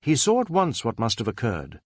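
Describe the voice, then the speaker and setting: A man's voice: mid-range voice, wildly swooping pitch, moderate pace, slightly rough, no echo, studio quality. A man reading a detective novel aloud as scripted dramatic narration. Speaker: audiobook narrator.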